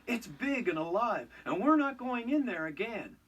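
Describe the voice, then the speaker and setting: Man acting alarmed railway workman, raised animated voice. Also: high-pitched, moderate pace, breathy edge, distant mic, muffled recording, voice pushed up, heavily acted. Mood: insistent, scared. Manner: alarmed, emphatic. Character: frightened, insistent railway tunnel workmen.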